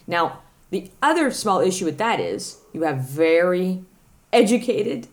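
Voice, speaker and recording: A middle-aged woman with a Canadian accent chats casually as a podcast guest, animated, blunt and prone to swearing. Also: on the low side, measured pace. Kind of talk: casual chat.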